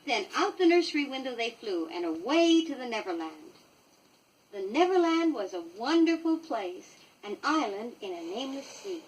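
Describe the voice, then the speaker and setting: An American woman reading a children's story from a script, expressive and clearly paced for young listeners, on a 1960s vinyl record. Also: fairly high pitch, moderate pace, far from the mic, poor audio. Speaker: storybook reader.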